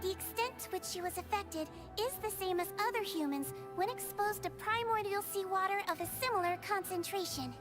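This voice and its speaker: Tiny, very high childlike medic. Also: female voice, fairly quick, few pauses. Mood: clinical. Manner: calm, clinical, soothing. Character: small, gentle childlike female medical attendant. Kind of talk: anime game voice acting. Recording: prominent game music behind.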